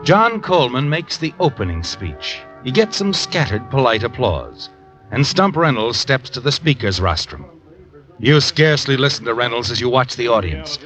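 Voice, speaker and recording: A man's voice: mid-range voice, very animated, moderate pace, a bit bright. An actor playing a sly, knowing narrator of a radio mystery, addressing a guilty man in an insinuating manner. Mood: taunting, ominous. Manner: insinuating, addresses the character as you. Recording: dull old radio broadcast, music behind.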